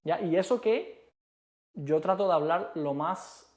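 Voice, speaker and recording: A man from Cuba with a Cuban Spanish accent, co-hosting a casual chat in an emphatic, animated way. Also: sits high, takes it slowly. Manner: emphatic, animated, repeats rhetorical questions. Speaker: co-host.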